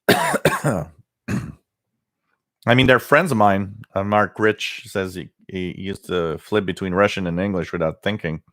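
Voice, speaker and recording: Male host, low voice, dry close sound, loose rambling live chat with plenty of fillers. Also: measured pace, pauses often, fairly bright tone, dry recording. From Quebec. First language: French. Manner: rambling, hesitant with many fillers. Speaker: radio livestream host. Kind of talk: casual live chat reading viewer comments.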